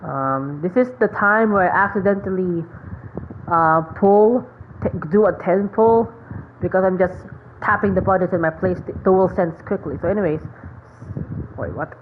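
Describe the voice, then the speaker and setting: A woman streamer with a lowish voice chats casually over her game, rambling and thinking aloud. The sound is thin and phone-like, with some noise. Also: normal speed. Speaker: gaming streamer. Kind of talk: casual gameplay commentary. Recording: thin phone-like mic, noisy.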